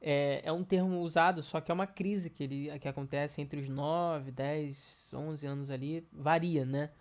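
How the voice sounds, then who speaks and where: A man's voice: a little high, steady tone, a little slow. A man with a cold and a nasal voice, talking casually as an educator to parents, with many fillers and restarts. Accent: Brazilian Portuguese.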